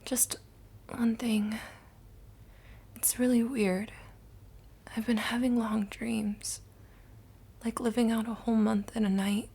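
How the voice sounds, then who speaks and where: A woman playing a frail, troubled hospital patient in a horror manga dub, speaking in a weak, breathy whisper. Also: on the high side, flat delivery, slow, pauses often, warm tone, heavily acted. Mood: weak, puzzled. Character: frail, whispering female patient tormented by long dreams.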